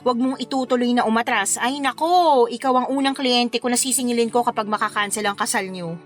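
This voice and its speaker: Actress as playful, frank young career woman, high voice, animated colloquial delivery, faint music underneath. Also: brisk, natural voice. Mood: frank, reassuring. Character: young female wedding planner, playful, frank, concerned friend. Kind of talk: radio drama dialogue.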